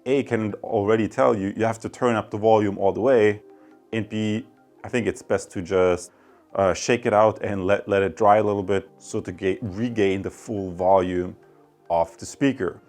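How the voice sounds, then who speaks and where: A man's voice: on the low side, fairly even intonation, normal speed, darkish tone, soft background music. A man giving a casual spoken product review, addressing viewers directly and often repeating his phrases. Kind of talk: casual product review and radio range test commentary.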